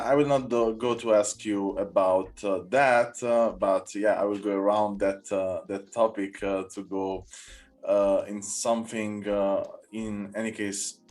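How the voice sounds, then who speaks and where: A man interviewing a guest in a casual conversation, halting and searching for his words in English. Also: on the low side, a little mellow.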